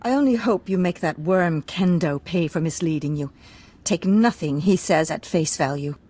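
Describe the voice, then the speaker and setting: A woman's voice: fairly low pitch, normal speed, dark tone, natural voice. Woman playing a proud, refined noble lady. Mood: composed, earnest. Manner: formal, earnest. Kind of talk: video game character acting.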